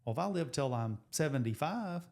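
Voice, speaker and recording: A man's voice: on the high side, expressive, a little fast. Chatty American man in his forties. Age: 40s. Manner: rambling, restarts, thinks out loud with numbers. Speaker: podcast co-host, high school coach and teacher. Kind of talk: casual chat.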